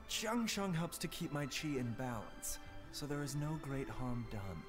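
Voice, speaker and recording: A young video game physician, gentle and courteous, who keeps things to himself. His mid-pitched speech is formal and measured, with light music underneath. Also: very animated. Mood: calm, guarded. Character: gentle, secretive young male doctor, calm and courteous. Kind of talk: scripted video game voice acting.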